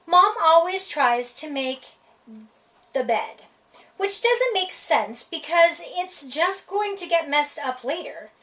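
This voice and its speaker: A woman reading a children's picture book aloud for a library storytime, with a playful, expressive delivery. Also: very high voice, takes it slowly. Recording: voice heard from a distance off the mic.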